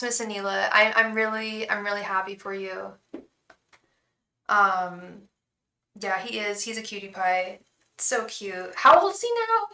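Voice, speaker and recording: A young woman with a calming voice, reading tarot live for viewers in a chatty, reassuring way, full of restarts and "um"s. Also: medium pitch, echoey room. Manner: addresses viewers fondly as "love". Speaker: tarot reader and psychic.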